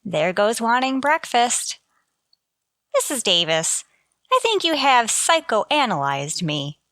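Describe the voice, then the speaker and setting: A woman acts a wry, self-deprecating female teacher in a radio sitcom. Her voice is bright and crisp-edged, swinging widely in pitch as she delivers dry wisecracks. The sound is dry, free of room echo. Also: big pitch swings, takes it slowly, full character voice. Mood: dry, resigned. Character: wry, unlucky, sardonic female high school teacher. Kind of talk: scripted radio sitcom acting with first-person narration.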